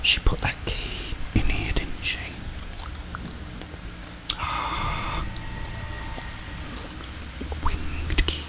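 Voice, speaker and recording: A young man whispers ASMR commentary over a game. His delivery is gentle and very slow. He speaks close to a desk microphone. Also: low-quality recording. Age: young adult. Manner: unhurried, gentle. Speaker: gaming ASMR streamer. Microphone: close desk microphone with headphones.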